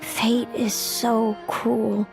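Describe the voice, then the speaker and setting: A woman's voice: a little high, flat delivery, creaky, full character voice. Solemn, brooding seer woman. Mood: brooding, bitter. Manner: measured, formal, brooding. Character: solemn, fatalistic young female seer, wistful and formal. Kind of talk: anime game voice acting. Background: music.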